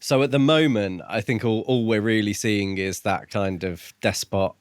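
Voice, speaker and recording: A man's voice: on the low side, moderate pace, smooth, dry recording, clean studio sound. A man co-hosting a podcast, recapping and casually discussing a book. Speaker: podcast co-host. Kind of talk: casual chat.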